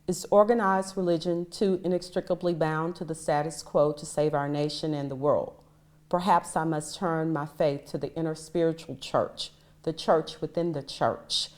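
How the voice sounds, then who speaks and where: Female reader, deep register, public reading of a historic written text. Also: darkish tone.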